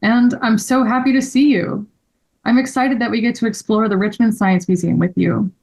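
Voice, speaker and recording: A woman's voice: on the high side, steady tone, normal speed, a little mellow, rough recording. A woman playing an earnest, grateful male friend in a table read of a children's story.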